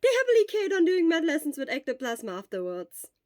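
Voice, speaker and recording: Woman voicing an exuberant, teasing young man, high-pitched. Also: wildly swooping pitch, fast talker, a little mellow, no echo, clean studio sound. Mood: chatty, upbeat. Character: loud, cheerful, teasing young man, devoted best friend.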